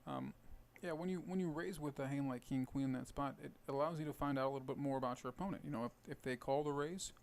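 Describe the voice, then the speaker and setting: A man with a rough, hoarse voice casually explaining his poker plays while thinking aloud. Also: mid-range voice, fast talker, hardly any pauses. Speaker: poker video host. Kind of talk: casual poker commentary over gameplay.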